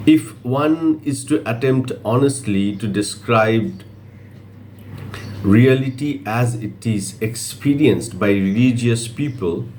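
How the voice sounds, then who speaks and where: A man reads a literary essay aloud in a fairly low voice. His delivery is very slow and measured, breaking the sentences into short phrases with frequent pauses. A faint layer of noise sits behind him in a simple amateur recording. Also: darkish tone. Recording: faint background noise, amateur video reading.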